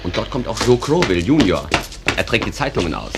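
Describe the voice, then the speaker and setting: A man playing a genial stage manager who introduces a small town to the audience in a conversational, unhurried, digressive way. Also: fairly low pitch, sing-song, background noise. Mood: matter-of-fact. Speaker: narrator. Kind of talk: theatrical narration addressed to the audience. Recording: music playing behind the voice.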